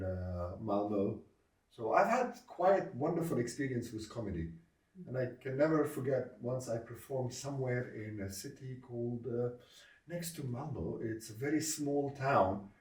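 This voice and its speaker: A man's voice: mid-range voice, darkish tone, recorded from a distance. Man with a second-language lilt, echoey room. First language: neither English nor Swedish. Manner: hesitant, many filler pauses, earnest. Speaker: comedy club founder and comedian. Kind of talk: casual interview conversation.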